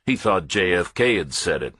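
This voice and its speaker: A man's voice: sits low, very animated, normal speed, pushed and bright, slightly rough. A man narrating a first-person thriller audiobook in short, clipped, terse phrases.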